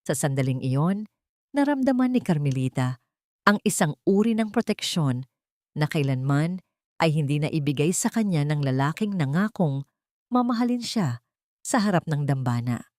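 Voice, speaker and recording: A woman with a Filipino accent narrating a scripted dramatic story in a deliberate, emotive way. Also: low voice, big pitch swings, a little mellow, dry recording, clean studio sound.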